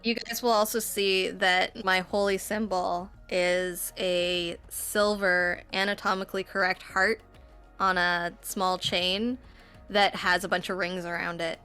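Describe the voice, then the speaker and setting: Bright, crisp-voiced woman playing a tabletop game. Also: lowish voice, level tone, unhurried. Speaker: player in a tabletop roleplaying game. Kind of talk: explaining game moves and rules. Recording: music under the voice.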